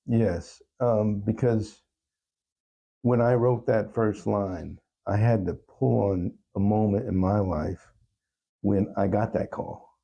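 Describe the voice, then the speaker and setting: A man speaking as an interview guest, telling personal stories in a reflective, halting and earnest way. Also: lowish voice, gravelly, muffled recording. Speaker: talk show guest, songwriter.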